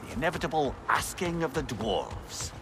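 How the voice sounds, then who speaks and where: A wry, lively sage. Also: male voice, medium pitch, moderate pace, over loud music. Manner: wry, wordy, sardonic. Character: wry, talkative, clever older male companion sage. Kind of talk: video game dialogue acting.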